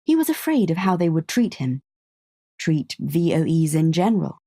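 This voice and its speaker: A woman narrating a scripted story in a measured, understated way, close on the microphone. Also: low-pitched, very animated, dark tone, dry recording.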